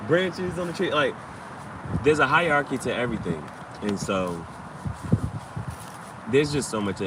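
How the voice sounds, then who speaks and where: A man's voice: medium pitch, measured pace. A man hosting a casual tarot livestream, talking in a rambling, digressive way and repeating his phrases. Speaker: tarot reader and host. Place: outdoors under a tree. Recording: distant mic outdoors, loud ambient noise.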